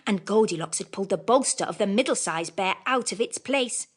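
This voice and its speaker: Animated woman reading children's stories. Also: medium pitch, fairly quick, breathy edge. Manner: expressive storytelling. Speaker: audiobook narrator. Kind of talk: scripted children's storybook narration.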